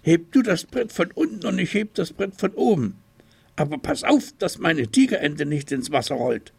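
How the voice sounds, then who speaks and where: A man voicing a small, excitable boy tiger in a children's radio story, speaking high and eager. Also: moderate pace, creaky, breathy edge, dry recording, exaggerated voice.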